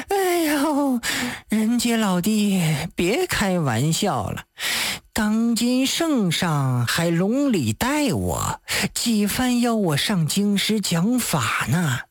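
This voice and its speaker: A storyteller voicing a sly, mocking old Taoist master in an audiobook, gloating at a leisurely pace with drawn-out interjections. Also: medium pitch, huge pitch swings, no room sound, clean studio sound, exaggerated voice. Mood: mocking, scornful.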